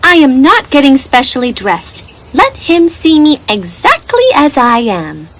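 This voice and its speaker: A woman voicing a spirited, sharp-tongued young princess in a storybook, lively and dismissive. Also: sits high, unhurried, heavy background noise, pitched above the performer's own voice, exaggerated voice. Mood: proud, carefree. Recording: music behind the voice.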